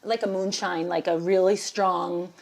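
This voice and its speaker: Puerto Rican woman with a gravelly voice. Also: on the low side, measured pace. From Puerto Rico. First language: Spanish. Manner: animated, switches into Spanish, teacherly. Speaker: guest, Spanish teacher. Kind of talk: casual podcast interview.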